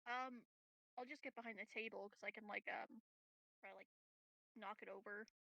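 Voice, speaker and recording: A woman with a mid-pitched voice talks through her move in an online tabletop game. She is casual and tentative, and the sound is dry with no room to it. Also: fairly even intonation, normal speed, vocal fry, sharp tone, no echo, low-quality recording. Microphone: voice call over the internet.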